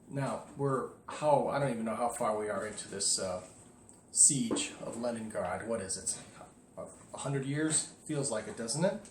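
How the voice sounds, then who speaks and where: A man, a priest, speaking casually and candidly to his parishioners in a home video, with emphatic rises. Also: medium pitch. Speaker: Orthodox priest. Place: indoors at home. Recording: echoey room with faint noise.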